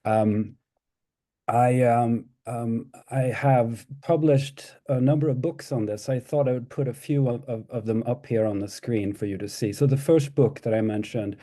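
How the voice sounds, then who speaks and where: A man's voice: medium pitch, measured pace, warm tone. A middle-aged Swedish-born man giving an academic talk over a video call, hesitant, with many ums and restarts. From Sweden. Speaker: guest lecturer.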